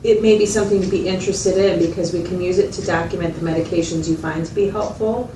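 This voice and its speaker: Woman playing attentive mental health clinician, lowish voice, conversational, reflective, explanatory, far from the mic, noisy recording. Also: steady tone, fast, lightly acted. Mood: supportive, explanatory. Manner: reflective, explanatory, checking in with the listener. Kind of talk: role-played clinical interview, conversational. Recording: distant mic, roomy echo, loud background noise.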